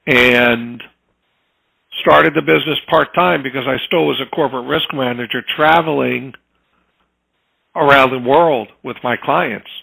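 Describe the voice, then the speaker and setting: Gravelly-voiced man in his fifties. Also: medium pitch, bright, forceful tone, rough recording. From New Jersey, US. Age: 50s. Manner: easygoing, storytelling. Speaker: home inspector guest. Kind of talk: casual interview talk.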